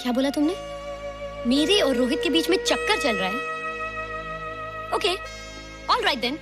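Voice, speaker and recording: A woman's voice: high voice, animated, measured pace, loud music behind the voice. An actress playing a headstrong, indignant young woman in a film drama. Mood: defiant anger. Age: young adult. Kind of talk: Bollywood film dialogue.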